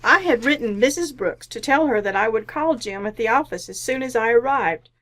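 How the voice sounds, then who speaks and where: Woman playing a high-voiced, melodramatic murder suspect. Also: animated, brisk, fairly bright tone. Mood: politely helpful. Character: polite, scheming female murder suspect feigning innocence. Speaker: amateur radio actor. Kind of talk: old-time radio mystery acting.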